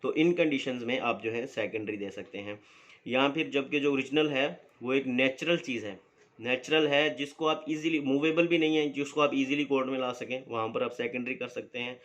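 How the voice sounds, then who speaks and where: A man teaching a law lesson at a mid-range pitch, explaining patiently and padding his sentences with fillers. He slows down carefully whenever he reads legal wording aloud. The recording sounds dull and lo-fi. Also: steady tone, fairly bright tone. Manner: explains slowly with many fillers, reads statute text aloud deliberately. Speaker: law lecturer and advocate.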